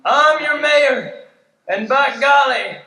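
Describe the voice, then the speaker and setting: Blustering Old West mayor played by a man, bright high voice, folksy, off the mic in a live radio play. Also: animated, slow, far from the mic, full character voice. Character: blustering heathen mayor of a Western frontier town. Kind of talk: improvised Western radio play. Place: live improv show on a stage. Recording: echoey stage, off the mic.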